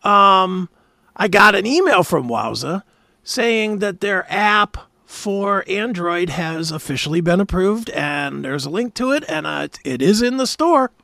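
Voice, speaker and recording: A man with a notably high voice and very lively pitch chats casually as a show host. His delivery is informal and enthusiastic, with a gravelly creak. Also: unhurried, no room sound, clean studio sound. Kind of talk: casual chat.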